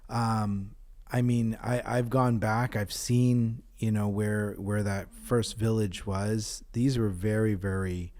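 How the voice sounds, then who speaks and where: A male podcast host with a fairly low voice asks questions earnestly. He stops and restarts his words often as he talks. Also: fairly even intonation, darkish tone. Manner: earnest, halting with repeated restarts. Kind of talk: casual podcast interview.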